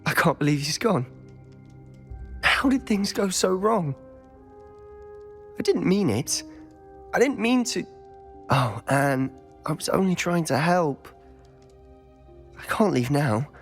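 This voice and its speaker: A distraught young wizard student. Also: male voice, on the high side, expressive, slow pace, pauses often, faint music behind. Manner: pleading, emotional. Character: young male student, distraught and guilt-ridden. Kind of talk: video game voice acting.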